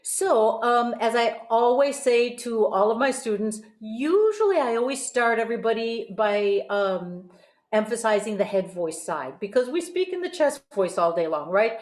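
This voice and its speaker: A woman's voice: a little high, animated, moderate pace, a little mellow. A woman, middle-aged or older, teaching voice in a conversational, encouraging and explanatory way. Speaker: host and voice teacher. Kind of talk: conversational vocal coaching. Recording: roomy, echoing sound.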